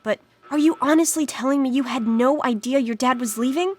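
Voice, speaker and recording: A woman's voice: high-pitched, brisk. A woman playing an anxious, loyal teenage girl in a video game, earnest and pleading. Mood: earnest, sympathetic. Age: young adult. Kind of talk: video game dialogue acting.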